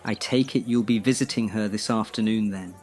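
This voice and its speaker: A man in his twenties playing a polite, well-off young man with a measured, proper way of talking, over soft music. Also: fairly low pitch, close to an everyday voice. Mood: dry. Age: 20s. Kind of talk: audio drama acting.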